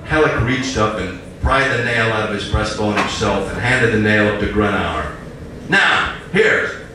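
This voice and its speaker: A man's voice: fairly low pitch, normal speed. A man narrating a story in a dramatic live reading on stage, recorded in an echoing room. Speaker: writer reading own story. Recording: echoey stage, distant mic, faint noise.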